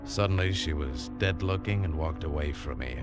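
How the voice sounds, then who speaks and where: A man's voice: extremely low pitch, normal speed. An actor playing a tough, wary adventurer who tells his story in clipped, world-weary 1940s radio drama style. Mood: hard-boiled, brooding. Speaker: lead actor and first-person narrator. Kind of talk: 1940s radio adventure drama acting and hard-boiled narration. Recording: 1940s radio recording, music underneath.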